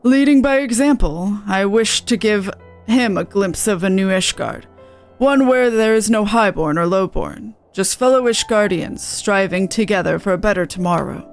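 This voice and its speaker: A woman's voice: medium pitch, animated, moderate pace, faint music behind, natural voice. A woman voicing a dignified older nobleman in a video game, earnest and formal with archaic phrasing. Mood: solemn, confessional. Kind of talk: amateur game dialogue read-aloud.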